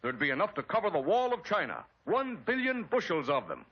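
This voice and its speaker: A man's voice: high-pitched, wildly swooping pitch, a little fast, breathy edge. An American man delivering an emphatic, dramatic scripted narration in the style of a 1940s wartime propaganda film. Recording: dull vintage 1940s film soundtrack. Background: loud orchestral film score.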